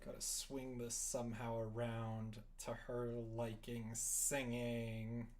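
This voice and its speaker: A streamer chatting casually while working, muttering and thinking aloud at a slow pace. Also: mid-range voice, little pitch movement, a little mellow. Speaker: streamer making a visual novel.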